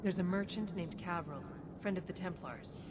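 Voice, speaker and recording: An actress playing a cool, shrewd smuggler boss in a video game, measured and businesslike. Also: female voice, lowish voice, background noise, natural voice. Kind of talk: video game cutscene acting.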